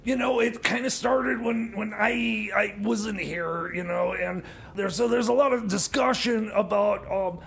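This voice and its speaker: Man acting as nagging male sidekick mechanic, high gravelly voice, halting, stammering, comedy radio play, noisy recording. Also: fairly even intonation, fairly bright tone, full character voice. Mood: awkwardly critical. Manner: halting, stammering, repeats words. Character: nagging, hesitant male time-machine mechanic sidekick Jeb. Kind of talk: bantering comedy radio theater.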